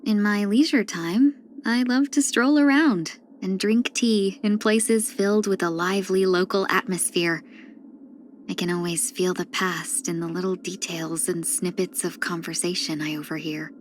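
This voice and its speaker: A woman voicing a video game character, a gentle, wistful fox-woman who speaks softly and politely in measured, reflective lines. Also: medium pitch, fairly even intonation, slight background noise, lightly acted. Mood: fond, nostalgic. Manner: soft-spoken, measured, reflective, drifting into breathy near-whispers; polite and refined.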